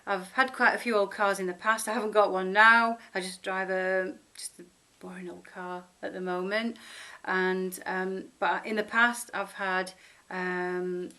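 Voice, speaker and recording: A woman's voice: mid-range voice, a bit bright. A middle-aged woman from Sheffield with a Northern English accent, chatting informally and unscripted to her viewers in a rambling, affectionate way. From Sheffield, northern England. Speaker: vlogger introducing herself. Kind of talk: casual unscripted chat to camera.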